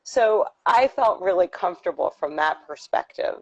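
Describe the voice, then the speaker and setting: A woman's voice: on the low side, lively intonation, moderate pace. A woman giving a casual testimonial as a student, talking in a conversational way. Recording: dull lo-fi audio with music.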